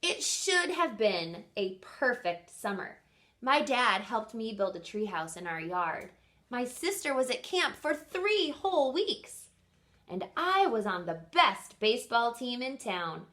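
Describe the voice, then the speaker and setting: A woman teacher and host doing an expressive storybook read-aloud for young children, often pausing to put questions to her listeners. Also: on the high side.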